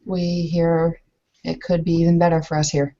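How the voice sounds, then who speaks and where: A woman playing a dry, guarded young bride in a tabletop roleplay, speaking deliberately and with pauses. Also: on the low side, level tone, dark tone, muffled recording, lightly acted. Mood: wry, guarded. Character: dry, guarded young Bavarian noblewoman bride, werewolf kinfolk.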